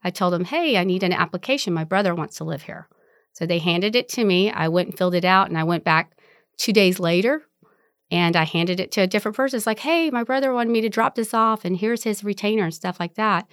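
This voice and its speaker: A woman's voice: medium pitch, a little fast, a bit bright, no echo, clean studio sound. A woman in her forties from Vietnam, candidly telling her life story in an interview and laughing easily. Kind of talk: oral history interview.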